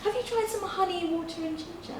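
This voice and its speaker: A woman's voice: very high-pitched, fast talker, a loud crowd. A woman playing a plucky, cheeky, lovelorn young captive heroine in a theatrical stage pantomime. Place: theatre stage before an audience. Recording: echoey theatre, distant stage pickup.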